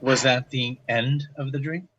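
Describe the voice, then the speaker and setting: An actor playing a grave investigator, speaking formally and deliberately as he questions and narrates. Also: mid-range voice, fairly even intonation, fairly bright tone, lo-fi sound, slight background noise, close to an everyday voice. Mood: probing. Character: grave, measured male investigator recording testimony of tragedy. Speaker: interviewer and narrator. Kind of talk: solemn dramatic monologue and questioning.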